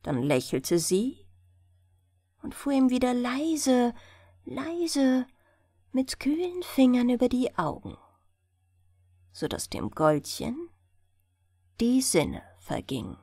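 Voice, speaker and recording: A woman narrates a fairy tale for bedtime in a gentle, soothing way. She takes her time, with a very melodic delivery, and the recording is close and dry. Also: on the high side, takes it slowly, long pauses, slightly rough, no echo. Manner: gentle, soothing, expressive storytelling. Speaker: audiobook narrator.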